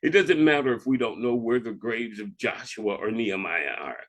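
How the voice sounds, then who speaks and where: A man speaking as a reverend, reading scripture and devotional reflections in a measured, deliberate way. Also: lowish voice, animated.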